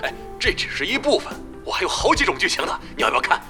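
A cheeky young gamer hustler in an audio drama. He is chatty and theatrical, with extremely swooping intonation over clear background music. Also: high-pitched, sing-song, fast talker, sharp tone, heavily acted. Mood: cheeky, boastful. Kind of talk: Chinese audio drama acting.

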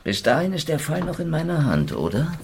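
An actor playing a cynical, lovesick, middle-aged Soviet investigator in a noir radio drama, with a gravelly voice and a sardonic, dry manner. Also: male voice, on the high side, huge pitch swings, a little fast, a little hiss or hum, heavily acted. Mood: indignant, pressing. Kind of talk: noir radio drama acting with inner monologue.

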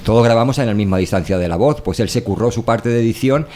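A man's voice: mid-range voice, quick pace, darkish tone. A man from in casual chat, repeating words as he searches for the next one. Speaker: radio show regular, course pupil. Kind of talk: casual round-table chat. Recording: clear background noise, room ambience.

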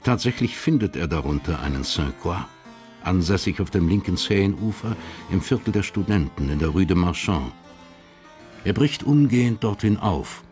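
An actor as the sober narrator of a historical radio crime drama, reading in a factual, deliberate way. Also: male voice, low voice, animated, darkish tone, soft background music.

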